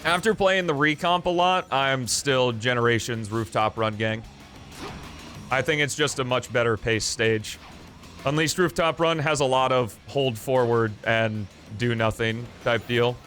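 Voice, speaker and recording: A young man chatting casually on a live stream, loud and rambling with lots of fillers and jokes, in a small foam-treated room. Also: mid-range voice, unhurried, faint music behind.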